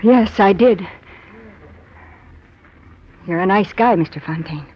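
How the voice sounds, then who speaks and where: Female worldly nightclub showgirl in 1940s radio noir, mid-pitched, coy, hesitant, vintage dull sound. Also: slow pace, breathy edge. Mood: wary. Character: guarded, worldly young nightclub showgirl on the run. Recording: band-limited 1940s radio with music.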